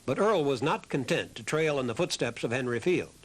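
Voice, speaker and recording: A man's voice: mid-range voice, expressive, brisk, husky. A man reading scripted documentary narration as the narrator of a history program.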